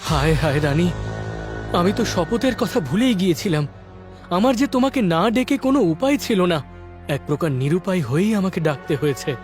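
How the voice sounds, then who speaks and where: A man's voice: high-pitched, expressive, normal speed. A man voicing an impassioned fairy-tale king in a cartoon, with a theatrical, emotional delivery. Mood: regretful. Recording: loud music bed. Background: music.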